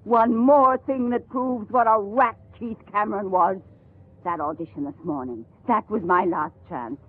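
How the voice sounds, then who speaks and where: An American actress playing a bitter, haughty fading stage star with theatrical indignation in a 1950s radio drama. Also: female voice, mid-range voice, a little slow, natural voice. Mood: bitter, defiant. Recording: muffled vintage radio broadcast with music.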